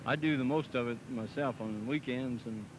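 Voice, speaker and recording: A middle-aged man talks plainly, halting often with "uh" and tag questions like "see?". His voice sits at a mid pitch. He is recorded outdoors on a handheld mic, with steady noise on old 16mm film sound. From Missouri, US. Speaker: packing plant representative for a farmers group. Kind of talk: filmed outdoor interview. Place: outdoors by a cattle pen. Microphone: handheld mic held by interviewer.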